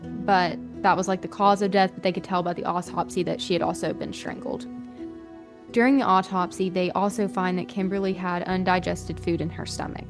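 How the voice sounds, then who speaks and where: A woman's voice: fairly low pitch, little pitch movement, a little fast, over music. A woman hosting a podcast, telling a true-crime story in a conversational, colloquial way. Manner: casual, colloquial phrasing with frequent "like". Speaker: podcast host.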